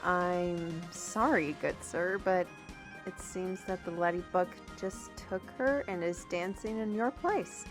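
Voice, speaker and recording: A woman playing a chatty, easily flustered storyteller in a fantasy audio roleplay, with an expressive, hesitant delivery. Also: fairly low pitch, slow pace. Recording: music behind the voice.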